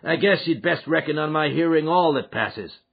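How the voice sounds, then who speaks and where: A man's voice: a little high, lively intonation, moderate pace, creaky, slightly rough, muffled recording, voice pushed up, exaggerated voice. A man playing a huge, menacing, blunt American lodge boss who talks in folksy threats in an audiobook. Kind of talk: audiobook character acting.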